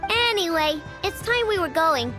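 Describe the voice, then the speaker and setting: A little girl inventor from a video game, bubbly and stubborn. Her voice is extremely high and swings widely as she chatters on, breezy and sure of herself. Music plays clearly behind her. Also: animated, normal speed. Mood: breezy, eager. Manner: chatty, breezy, self-assured. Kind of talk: video game character acting.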